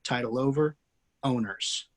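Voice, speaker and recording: A man reading the stage directions aloud in a plain, steady way as the screenwriter hosting a table read over a video call. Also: mid-range voice, a little slow. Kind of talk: reading stage directions, then casual chat. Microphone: video call mic.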